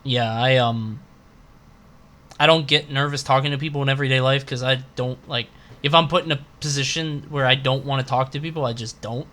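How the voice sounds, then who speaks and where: A man's voice: medium pitch, fairly even intonation, brisk, fairly bright tone. A man co-hosting a podcast, reading out questions and bantering casually in a blunt, sarcastic, sweary way.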